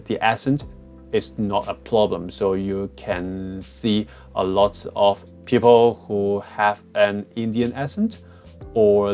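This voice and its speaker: A man's voice: on the low side, darkish tone. A man with a Hong Kong English accent explaining test preparation in a casual walkthrough, with many fillers and restarts. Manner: frequent fillers and restarts, plain phrasing. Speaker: test prep tutor. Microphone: cheap headset.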